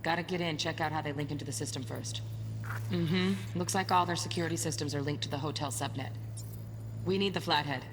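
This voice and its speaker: A woman's voice: sits low, fairly quick, in a large hall, noisy background, lightly acted. A woman playing a confident, streetwise tech hacker in a cyberpunk game, with a clipped, matter-of-fact delivery. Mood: businesslike, blunt. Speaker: hacker in a heist crew. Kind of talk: naturalistic video game acting.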